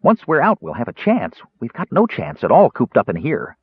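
A man voicing a small, cheerful and impulsive kender who chatters eagerly in a high voice. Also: wildly swooping pitch, breathy edge, no room sound, heavily acted. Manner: high, bubbly, rapid and eager. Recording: dry tinny phone-like sound.

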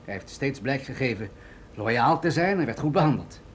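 An actor in a radio drama playing an authoritative military general, grave and deliberate as he explains things. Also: mid-range voice, expressive, slightly rough. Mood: earnest, persuasive.

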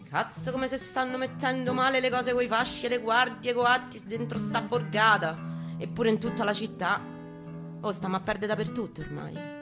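A woman's voice: fairly high pitch, steady tone, moderate pace. Roman woman's dramatic reading over guitar. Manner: intense, colloquial, profane delivery. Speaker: performer reading her piece aloud. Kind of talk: dramatic spoken-word reading. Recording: live guitar under the voice. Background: acoustic guitar music.

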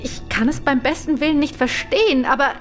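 Woman voicing a shaken young lady, high voice, stammering, breathless, faint music behind. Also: a little fast, close to an everyday voice. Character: frightened young woman, Miss Baxter. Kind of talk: radio drama acting.

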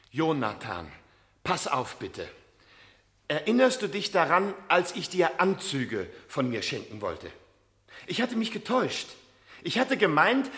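Flustered, pleading father, high-pitched. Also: fairly bright tone, full character voice. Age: middle-aged. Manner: hesitant, stammering, pleading. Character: flustered, pleading middle-aged father, anxious and evasive. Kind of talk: radio play acting, 1970s.

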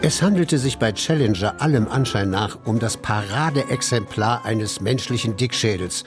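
A man's voice: medium pitch, moderate pace, close to an everyday voice. An actor playing a wry first-person male narrator in a radio drama, telling the tale in literary scripted phrasing. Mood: wry, expectant. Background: music.

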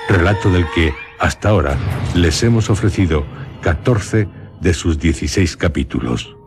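A man with a Castilian Spanish accent introducing a radio horror drama in a solemn, theatrical, suspenseful way, pausing between short phrases. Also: deep voice, animated. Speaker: host and narrator of a radio horror drama series. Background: music under the voice.

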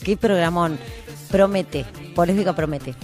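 A woman's voice: lowish voice, a little slow, darkish tone, faint music behind. An Argentine woman hosting a casual live radio show, talking in a playful, informal way.

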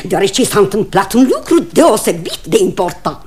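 An actress playing a fussy, bossy, status-proud small-town matriarch with an exclamatory manner, in theatrical radio comedy style. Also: female voice, mid-range voice, sing-song, over loud music, close to an everyday voice. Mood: commanding, ceremonious. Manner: fussy, exclamatory, self-important. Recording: old radio recording, faint noise, clicks.

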